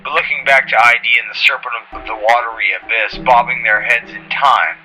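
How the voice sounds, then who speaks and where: A man's voice: medium pitch, steady tone, brisk, sharp tone, soft background music, poor audio. A man reading scripted narration for an audio drama.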